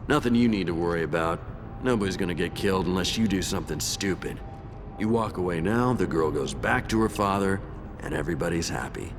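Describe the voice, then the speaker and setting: Low-voiced, cocky mercenary boss. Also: male voice, a little fast, music in the background. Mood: smug menace. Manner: taunting, tough-talking, menacing. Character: cocky, threatening male mercenary squad leader. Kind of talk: video game voice acting.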